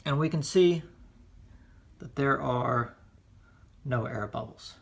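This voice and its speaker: A man hosting a casual hobby demonstration in his living room, explaining things in a measured, hesitant way. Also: medium pitch, vocal fry, husky. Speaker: host, record hobbyist. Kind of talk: casual demonstration of vintage records. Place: indoors, living room at home.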